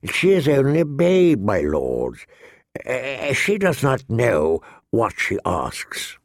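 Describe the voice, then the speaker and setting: A man's voice: mid-range voice, wildly swooping pitch, no echo, clean studio sound, exaggerated voice. A man voicing a sly courtier with a sighing, pitying manner in a fantasy audiobook. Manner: sighing, drawn-out.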